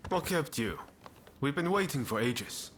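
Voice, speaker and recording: Easygoing ronin comrade. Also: male voice, fairly high pitch, expressive, a little slow, a bit bright, slight background noise. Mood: casual, concerned. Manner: informal, conversational. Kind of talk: video game cutscene acting.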